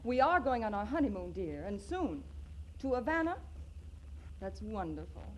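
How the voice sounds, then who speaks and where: An actress playing a sweet, affectionate young woman with a gentle manner in a 1940s radio comedy. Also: mid-range voice, very animated, moderate pace, a little hiss or hum, natural voice. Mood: adoring. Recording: muffled vintage 1940s radio recording.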